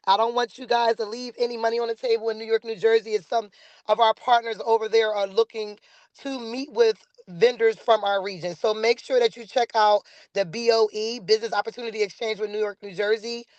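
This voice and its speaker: A woman's voice: fairly high pitch, fairly even intonation, a little fast, darkish tone, dry recording. A woman hosting a business webinar over a video call, enthusiastic and chatty, encouraging the audience and using many "um"s. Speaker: webinar host, organization director. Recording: dull, lo-fi video call audio.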